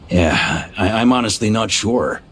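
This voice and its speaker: An actor voicing an easygoing, improvising veteran knight leader in a video game, with a breezy manner. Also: male voice, on the low side, animated, slow, very noisy. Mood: sheepish, breezy. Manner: breezy, hesitant asides between confident explanation. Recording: music behind the voice.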